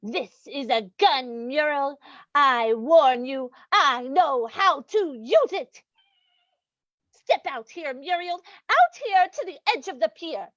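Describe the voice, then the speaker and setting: A woman's voice: very high-pitched, very animated, moderate pace, few pauses, no echo, dull audio. A woman playing a gloating, sweetly cruel lady murderess in an old-time radio mystery, cooing mock sympathy at her victim. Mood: mock-sweet menace.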